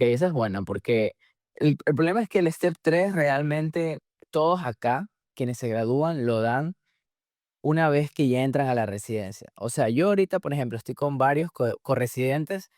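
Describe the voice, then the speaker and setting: A man, a medical resident whose first language is Spanish, answering interview questions in a relaxed explanatory way with many restarts and fillers. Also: medium pitch, normal speed, dry recording, clean studio sound. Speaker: guest, surgery resident in the US.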